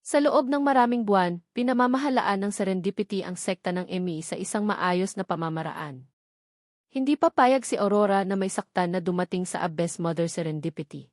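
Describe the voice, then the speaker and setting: A woman's voice: medium pitch, a little fast, dry recording, clean studio sound. A woman reading a novel aloud as a scripted audiobook narration.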